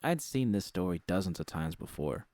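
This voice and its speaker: A man's voice: sits low, sing-song, normal speed, a little mellow, no room sound. A man reading a scripted first-person story as narrator.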